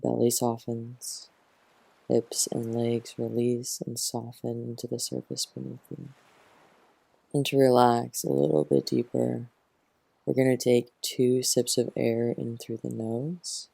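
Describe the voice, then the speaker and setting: A man leads a guided relaxation with heavy vocal fry and a soft, mellow tone. His delivery is very slow and soothing, with gentle instructions given close to the mic. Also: medium pitch, level tone, no room sound. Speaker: yoga nidra teacher.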